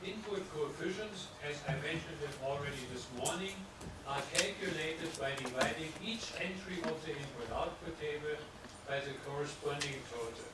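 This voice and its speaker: An older man with a German accent giving a methodical lecture in non-native English, repeating his points, with a loud voice. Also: on the high side, normal speed. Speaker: professor. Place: large lecture hall. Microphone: room microphone, often moving away from it. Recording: echoey hall, distant mic, loud background noise.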